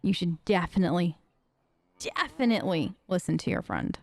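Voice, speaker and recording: A woman's voice: fairly low pitch, sing-song, normal speed, gravelly, dry recording. A woman playing a video game and chatting casually in character, in a gushing, playful manner. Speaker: let's play host, role-playing her character.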